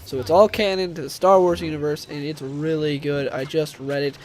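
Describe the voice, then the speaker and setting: A man's voice: on the high side, fairly even intonation, a little fast, poor audio. A young man with a cold talks with a stuffed-up nasal voice, rambling casually through a comic book review with many fillers and restarts.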